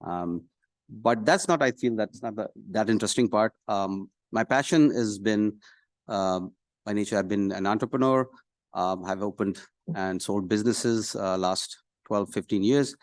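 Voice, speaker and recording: A middle-aged man from Pakistan introducing himself as a candidate at a public meeting, pausing often mid-phrase. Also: on the low side, dry recording. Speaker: city council candidate. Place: council meeting room, speaking at a podium.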